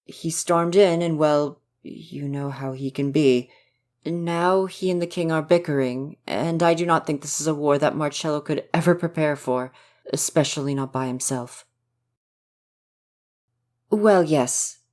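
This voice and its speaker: A man's voice: on the high side, steady tone, measured pace, darkish tone, lightly acted. A man playing a caring older royal butler in an ASMR roleplay, formal, polite and a little hesitant. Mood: formal, encouraging. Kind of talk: ASMR audio roleplay acting.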